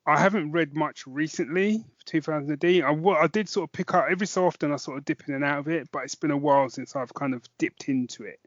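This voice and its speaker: A man's voice: a little high, quick pace, hardly any pauses, no room sound. Dull-sounding, dry British man chatting casually. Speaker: podcast co-host and reviewer. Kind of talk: casual chat podcast review.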